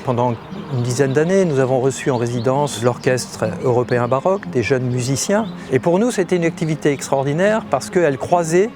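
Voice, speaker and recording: Mid-pitched guy, formal and thoughtful, music bed. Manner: formal, reflective. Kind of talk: interview.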